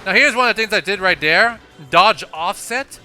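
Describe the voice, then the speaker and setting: A man's voice: high-pitched, lively intonation, moderate pace, pushed and bright. A man hosting a casual video game playthrough, thinking aloud in a hesitant, rambling way. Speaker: gaming video host. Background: video game music.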